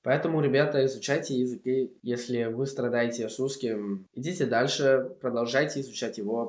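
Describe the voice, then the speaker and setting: Spanish-accented man in an echoey room. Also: medium pitch. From Spain. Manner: earnest, encouraging, learner's phrasing. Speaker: language learning vlogger. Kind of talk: casual vlog talk to camera.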